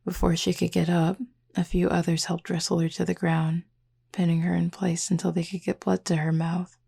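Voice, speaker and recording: A flirty werewolf woman, played by a voice actress in an intimate audio roleplay. Her low voice is thick with gravelly fry and husky at the edges. She talks in an unhurried, nonchalant way, keeping her intonation very even. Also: full character voice. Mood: calm, reflective. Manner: casual, unhurried, teasing, nonchalant. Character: flirty laid-back female werewolf, tattooed, scarred, protective.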